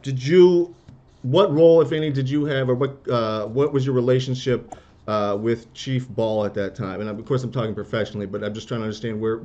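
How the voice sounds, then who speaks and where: Male attorney, halting questions, frequent restarts, video call, muffled dull audio. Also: medium pitch, steady tone, dark tone.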